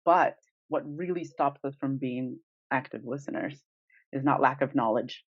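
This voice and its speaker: A woman co-hosting a podcast in casual chat, with an animated, exclamatory way of talking. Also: rumbling low, normal speed, no echo, muffled recording. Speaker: podcast co-host.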